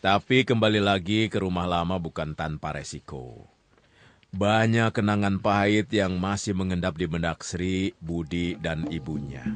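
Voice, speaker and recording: Casting: radio drama storyteller, deep voice, measured and teasing, close dry studio sound. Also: male voice, no room sound. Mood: storytelling. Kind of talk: conversational radio drama narration.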